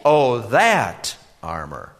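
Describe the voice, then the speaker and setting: A man's voice: mid-range voice, wildly swooping pitch, fairly bright tone, exaggerated voice. Man putting on an oily, scheming shop salesman. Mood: disdainful. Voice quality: oily, lisping. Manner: drawn-out, affected, mock-helpful. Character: oily, scheming, condescending clothing salesman, slick and persuasive. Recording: reverberant hall.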